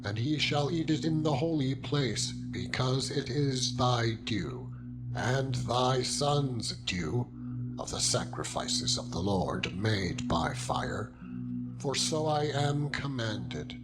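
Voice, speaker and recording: A man's voice: medium pitch, animated, slow. A man playing a stern, commanding male biblical leader in a solemn, declamatory dramatized scripture reading. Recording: loud music bed under the voice. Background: loud music.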